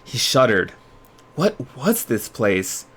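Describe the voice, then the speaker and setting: A man hosting a livestream readalong, moving between expressive storybook narration and casual chat with viewers. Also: mid-range voice, slow, slightly rough. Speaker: streamer host reading aloud.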